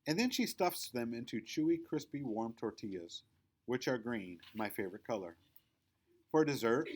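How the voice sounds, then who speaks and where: Male storybook narrator, mid-range voice, expressive and emphatic, with very lively intonation. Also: medium pitch. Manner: animated, emphatic. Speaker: storybook reader. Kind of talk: expressive children's read-aloud narration.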